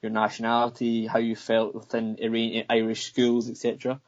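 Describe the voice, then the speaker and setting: Scottish-born male interviewer, mid pitch, stumbling casual questions, muffled audio. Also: completely flat delivery, moderate pace. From Scotland. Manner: stumbling, repeats words.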